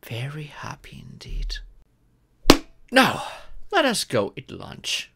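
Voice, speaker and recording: A man voicing a gentle, proud dragon father and former king in a comfort roleplay, speaking formally and tenderly. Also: a little high, wildly swooping pitch, vocal fry, whispered, full character voice. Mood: touched, pleased. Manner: formal, tender, paternal speech with deliberate pauses. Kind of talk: scripted comfort ASMR roleplay.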